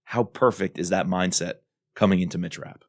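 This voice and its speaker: Podcast guy, lowish voice, chatty and really into it, emphatic, dry sound. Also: fairly even intonation, moderate pace, no room sound. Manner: enthusiastic, emphatic. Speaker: podcast co-host. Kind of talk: casual discussion.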